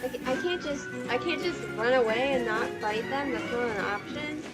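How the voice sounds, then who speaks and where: A woman's voice: high-pitched, moderate pace. A woman livestreaming a game, giving casual, reactive, sweary commentary. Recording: game music and sounds behind.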